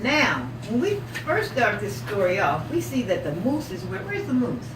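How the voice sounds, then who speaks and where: A woman teacher reading a picture book aloud to young children, asking questions, repeating their answers encouragingly and stretching words for emphasis. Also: on the high side. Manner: expressive, drawn-out emphasis on story words. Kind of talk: interactive read-aloud storytelling with questions. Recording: echoey room, distant mic, loud background noise.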